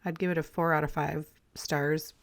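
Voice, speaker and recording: Raspy-voiced woman chatting casually. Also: low-pitched, moderate pace. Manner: relaxed, rambling, friendly, with light laughs. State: slightly raspy, clearing her throat after illness. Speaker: crafting vlogger. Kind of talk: casual chatty voice-over while collaging.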